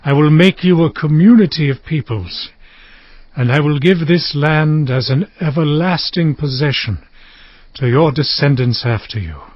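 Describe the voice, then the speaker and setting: A man playing a dying aged patriarch in a dramatized audio Bible, solemn and tender, speaking in halting phrases. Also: on the high side, dry recording, dull audio, close to an everyday voice. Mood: solemn, reminiscing. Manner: slow, halting, solemn delivery.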